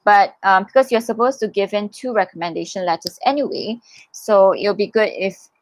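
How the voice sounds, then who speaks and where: A woman's voice: medium pitch, moderate pace, dry recording, rough recording. A young woman hosting a casual livestream Q&A. She reads viewer comments aloud and answers them in a chatty, encouraging way, with many fillers and restarts. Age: young adult. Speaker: livestream host answering scholarship questions.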